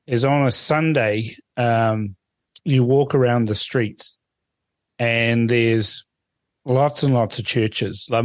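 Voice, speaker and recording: A man's voice: medium pitch, dry recording. A man from Fiji hosting a radio interview, asking long, chatty, rambling questions. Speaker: radio host.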